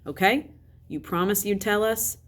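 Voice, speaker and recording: A woman voicing a gentle, affectionate, softly coaxing father in a children's storybook. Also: medium pitch, a little slow, natural voice. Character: gentle, affectionate, teasing father of a young boy.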